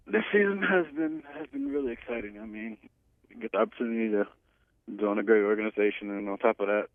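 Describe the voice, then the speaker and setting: Low-voiced young man on tinny phone line. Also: lively intonation, vocal fry, no echo. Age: 20s. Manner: halting, hesitant phrasing with many pauses. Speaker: pro football player being interviewed. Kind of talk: casual phone interview. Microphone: telephone.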